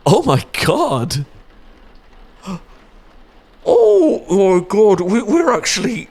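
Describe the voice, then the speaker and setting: A British man acting a nervous, smitten young man in a romantic roleplay, stammering and halting earnestly as he talks. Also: high voice, wildly swooping pitch, gravelly, a little mellow, slightly rough, full character voice. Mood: overjoyed, breathless. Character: nervous, smitten young man confessing to his best friend.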